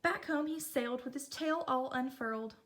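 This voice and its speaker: A woman reads a children's picture book aloud. Her voice sits high, and she narrates with expression as a storyteller. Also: normal speed, a bit bright. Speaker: storybook reader.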